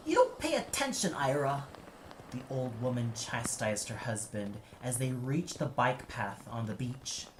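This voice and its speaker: A man's voice: lowish voice, background noise. A man giving dramatic scripted narration as the reader of an audio play.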